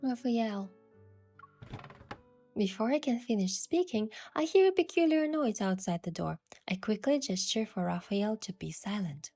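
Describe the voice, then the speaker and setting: A woman's voice: mid-range voice, expressive, measured pace, mellow. A woman reading scripted first-person romance narration and voicing the heroine. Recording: music behind the voice.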